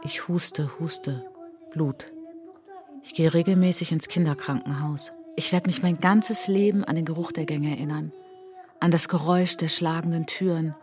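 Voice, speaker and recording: An actress narrating as a reflective, resolute woman looking back on her childhood in a German radio drama, in measured short phrases. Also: deep voice, darkish tone, lightly acted. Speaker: narrator of autobiographical monologue. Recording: music behind the voice.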